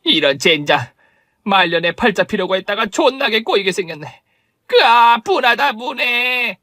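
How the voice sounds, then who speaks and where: A man voicing a coarse, foul-mouthed middle-aged woman gambler who curses and yells in a high, strained voice. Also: expressive, fairly quick, dry recording, studio quality. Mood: bitter. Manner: shrill, cursing and yelling.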